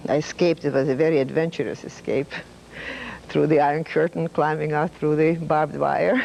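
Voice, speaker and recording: Gravelly older Hungarian-accented woman. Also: low voice, slight background noise, low-quality recording. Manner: measured, with fillers and soft laughs. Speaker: retired professor, interviewee. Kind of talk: reflective storytelling.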